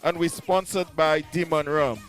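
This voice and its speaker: A man with a high-pitched voice hosts a radio show as a hype man. He speaks straight to the listeners and repeats his phrases, with music playing faintly behind him. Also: steady tone, moderate pace. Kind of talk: hype show intro patter.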